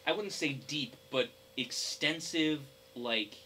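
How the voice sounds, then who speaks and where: A young man co-hosting a casual gaming discussion, speaking in a hesitant, halting way. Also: fairly high pitch, sharp tone, a little hiss or hum, low-quality recording. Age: young adult. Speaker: podcast co-host.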